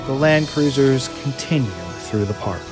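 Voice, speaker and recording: A man's voice: mid-range voice, lively intonation, unhurried, music in the background. A man narrating a scripted audio drama in a dramatic, descriptive way.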